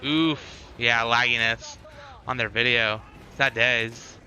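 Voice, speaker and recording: Bright-voiced man livestreaming, sarcastic asides. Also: mid-range voice, fairly even intonation, slow pace, rough recording. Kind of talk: casual livestream commentary, chatting to viewers.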